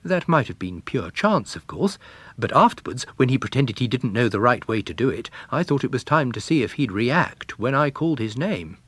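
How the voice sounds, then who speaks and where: A man's voice: mid-range voice, very animated, moderate pace, fairly bright tone, husky, dry recording, voice pushed up. A man voicing a shrewd, courteous commercial traveller who explains things in a chatty, methodical way in a story reading. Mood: modest, explaining.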